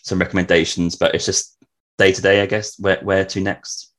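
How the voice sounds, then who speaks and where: A man's voice: low-pitched, little pitch movement, normal speed, a bit bright. A man who is a native English speaker, hosting a casual travel interview in a chatty way with frequent tag questions. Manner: chatty, often tacks tag questions onto remarks. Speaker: podcast host.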